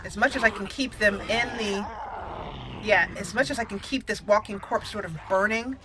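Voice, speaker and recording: A woman's voice: medium pitch, moderate pace, noisy background. A woman giving casual let's play commentary as a channel host, thinking aloud and repeating words as she goes.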